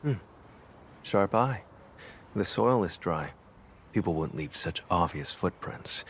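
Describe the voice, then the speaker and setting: A man voicing a stoic, brooding vampire hunter in a video game, speaking in a terse, grave and deliberate way. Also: deep voice, expressive, a little hiss or hum, heavily acted. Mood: watchful, analytical. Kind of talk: scripted game dialogue.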